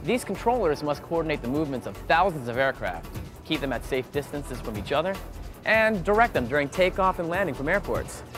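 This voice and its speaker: A man's voice: fairly high pitch, very animated, a little fast, music in the background. A man hosting an educational TV segment, moving between scripted narration and casual interview questions. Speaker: TV host and interviewer.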